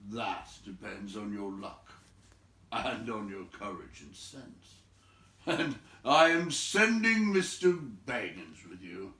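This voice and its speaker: A man voicing a brisk, bossy old wizard from a storybook, with an emphatic, scolding and teasing manner. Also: lowish voice, big pitch swings, pushed and bright, echoey room, recorded from a distance, heavily acted. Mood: brisk, exhorting.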